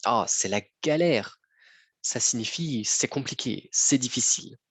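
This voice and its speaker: Slow, husky-voiced man teaching. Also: medium pitch, lively intonation, no room sound. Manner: patient, repeats phrases, often checks with "d'accord?". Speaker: French teacher. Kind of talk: slow lesson for learners.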